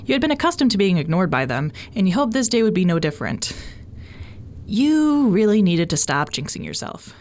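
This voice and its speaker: A woman narrating fan fiction as an expressive scripted audio story. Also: mid-range voice, brisk. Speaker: podfic narrator. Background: faint music.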